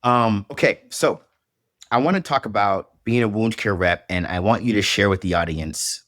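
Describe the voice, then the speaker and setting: A man's voice: fairly low pitch, moderate pace, a bit bright, dry recording, clean studio sound. A man hosting a podcast interview, encouraging and upbeat, often repeating words as he starts a thought. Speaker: podcast host.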